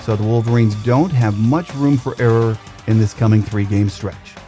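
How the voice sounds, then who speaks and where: A man hosting a sports podcast, talking in a measured, announcer-like way as he presents the show and puts questions to a guest. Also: lowish voice, warm tone, faint music behind. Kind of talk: scripted show introduction, then interview questions.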